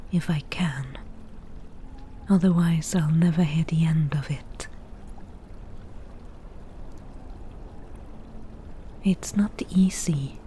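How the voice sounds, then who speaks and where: Lady doing a witch in the woods, asmr whispering, dry and teasing, real slow, faint bg noise. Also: low-pitched, dark tone. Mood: grudging, wry. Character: wry, teasing forest witch brewing potions. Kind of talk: ASMR roleplay, softly whispered.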